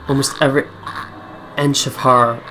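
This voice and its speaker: A male gamer with a medium-pitched voice reads horror game narration aloud. He tells it dramatically, with clear music behind him. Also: flat delivery, moderate pace, poor audio. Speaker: let's play host. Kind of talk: reading visual novel narration aloud with casual reactions.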